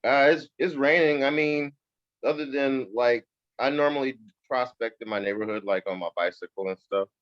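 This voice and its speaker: A man's voice: mid-range voice, moderate pace. A man chatting casually in a rambling, laid-back way as an attendee on a video call. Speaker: real estate agent attending webinar. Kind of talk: casual chat. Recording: dull lo-fi call audio.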